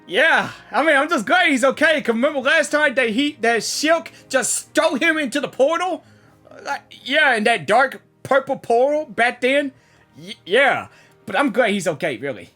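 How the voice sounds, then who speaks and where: Excitable high-voiced gaming reactor. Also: male voice, normal speed, faint music behind. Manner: excitable, chatty, says dude a lot. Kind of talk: live game reaction commentary.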